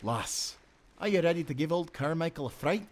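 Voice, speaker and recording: A loyal, teasing Scottish sailor, played by a man in a nautical audio adventure. His voice sits on the higher side for a man. He speaks with confidence and jaunty sea dialect. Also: animated, moderate pace, very noisy, close to an everyday voice. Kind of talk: cinematic audio adventure acting.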